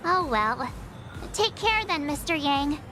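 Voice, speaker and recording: A woman's voice: sits high, animated, slow pace, over music. A woman voicing a small, cute game mascot with childlike, wistful cheer and a pouty, exclaiming manner. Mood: wistful, cheerful. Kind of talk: anime-style game voice acting.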